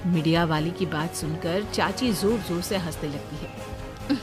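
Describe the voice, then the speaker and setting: A woman's voice: lowish voice, a little slow, over music. A woman narrating a scripted story in a dramatic storytelling style.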